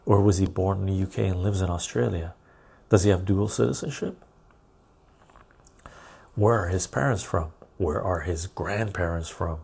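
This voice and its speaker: A man's voice: deep voice, moderate pace, gravelly, long pauses, darkish tone, breathy edge. A man whose first language is Armenian, hosting a casual livestream, reading viewer comments aloud with frequent chuckles and rambling asides. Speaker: livestream host. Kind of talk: casual live-stream chat.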